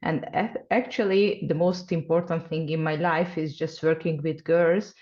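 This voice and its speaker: A woman with a Hungarian accent talks earnestly over a video call, now and then pausing to find the right English word. Her voice sits fairly low, and the call audio is dull and lo-fi. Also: fairly even intonation, darkish tone. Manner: earnest, searching for words in English. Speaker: guest scientist, associate professor. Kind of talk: casual interview answers.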